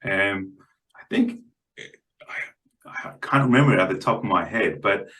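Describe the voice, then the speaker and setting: A man's voice: fairly low pitch, lively intonation, measured pace, bright, forceful tone. A man speaking as a guest analyst in unscripted market commentary, hesitant and often repeating his words.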